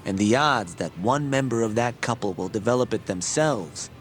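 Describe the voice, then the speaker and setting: An actor playing a patient, earnest medical expert who explains things in a measured, articulate, didactic way in a video game. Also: male voice, on the low side, lively intonation, slight background noise, natural voice. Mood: earnest, persuasive. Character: learned male medical expert, patient, earnest, wryly teasing lecturer. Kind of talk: English video game dub, explanatory dialogue. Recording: background music under the voice.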